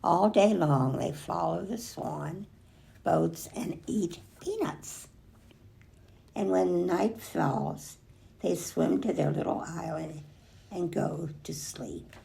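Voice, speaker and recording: An older woman reading a children's picture book aloud expressively, pausing to remark on the pictures. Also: on the low side, vocal fry, dark tone. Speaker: storybook reader.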